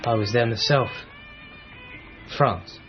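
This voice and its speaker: An actor playing a reserved, awkward former travelling companion, hesitant and clipped, in a radio drama. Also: male voice, mid-range voice, slow pace, close to an everyday voice. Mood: awkward, guarded. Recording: dull sound with faint music behind.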